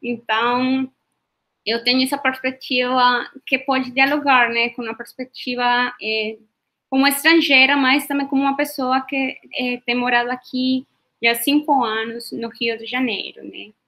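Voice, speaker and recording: A woman's voice: high-pitched, steady tone, normal speed, bright, forceful tone. A woman from Colombia with a Colombian Spanish accent, leading an online workshop over a video call, with many hesitations and tag questions. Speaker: workshop facilitator.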